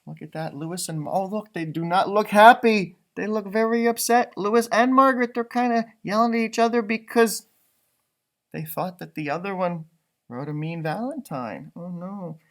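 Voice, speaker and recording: A man giving an expressive children's storybook read-aloud, adding exclamations and comments for young listeners. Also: sits high, normal speed. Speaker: storybook reader, teacher.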